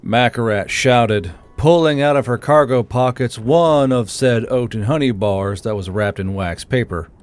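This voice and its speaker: A man's voice: medium pitch, a little slow, few pauses, clean tone. A man narrating a scripted fantasy story.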